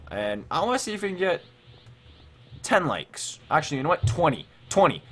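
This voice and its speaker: A man's voice: on the high side, sing-song, brisk, fairly bright tone, breathy edge. A young man giving casual gameplay commentary, rambling and thinking aloud as he hosts a gaming video. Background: music.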